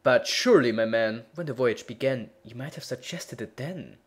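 A man with a husky voice voicing an impatient, bossy ship's bellman who exclaims loudly and hastily, in a storybook reading. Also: medium pitch, normal speed, close to an everyday voice. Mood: indignant. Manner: loud and hasty, exclaiming.

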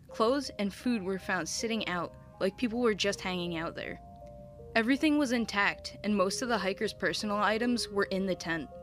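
A woman narrates a scripted mystery podcast in a medium-pitched voice. Music plays underneath her storytelling. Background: background music.

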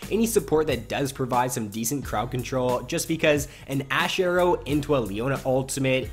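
Guy with a stuffed up nose talking through game strategy, laid back, faint music behind. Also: medium pitch. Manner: relaxed, explanatory, repeats phrases. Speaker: gaming video host. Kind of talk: casual game strategy commentary.

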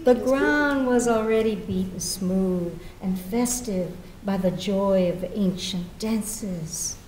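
A middle-aged woman from giving a dramatic, deliberate poetry reading. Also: medium pitch, darkish tone, a little hiss or hum. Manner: measured, deliberate phrasing. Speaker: poet. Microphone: lectern gooseneck microphone. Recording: echoey meeting room.

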